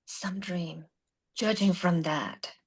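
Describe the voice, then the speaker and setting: A woman's voice: fairly low pitch, slow, breathy edge, full character voice. A woman playing a worn, blunt mother of a teenage girl in a table read, dry and scolding.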